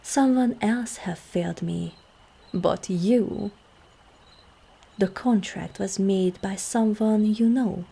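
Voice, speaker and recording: A woman playing a seductive, regal demon queen in an ASMR roleplay, calm and commanding, with slow, formal speech that often drops into breathy whispers. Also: mid-range voice, full character voice. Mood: composed, reassuring. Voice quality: breathy, husky.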